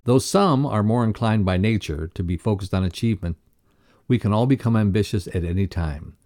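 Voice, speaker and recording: A man co-presenting a motivational podcast lesson, reading scripted text aloud in a measured, instructional way. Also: on the low side, darkish tone.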